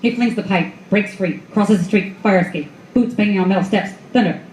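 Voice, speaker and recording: A woman's voice: medium pitch, fairly quick, darkish tone, faint music behind, natural voice. A woman performing as the narrator of a noir radio play, telling it in clipped, vivid, dramatic phrases. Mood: urgent. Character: stark female noir storyteller. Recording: echoey live room with clear background noise.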